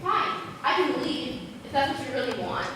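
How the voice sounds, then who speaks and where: Distant echoing stage, woman as curious girl, wry comforter, high voice, school play acting. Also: big pitch swings, moderate pace. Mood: wry, piqued. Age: teens or young adult. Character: curious, wry, searching girl who comforts forest visitors. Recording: echoey stage from far off, noisy.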